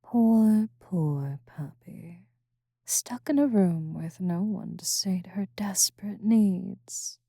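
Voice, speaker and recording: Woman playing a slow, haughty vampire noblewoman. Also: mid-range voice, gravelly, mellow, dry recording, clean studio sound. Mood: teasing, knowing. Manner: formal, aloof, condescending, deliberate. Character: haughty, composed vampire noblewoman, stern captor with teasing edge. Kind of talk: ASMR roleplay audio drama.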